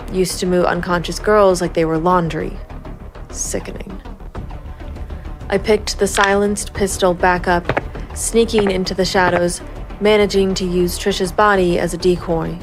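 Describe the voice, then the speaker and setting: A woman's voice: lowish voice, fairly even intonation, a little slow, over music. A woman playing a cold, composed vigilante investigator, narrating a gritty noir crime audio drama in a controlled, stern way. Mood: cold, tense. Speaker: narrator and lead character.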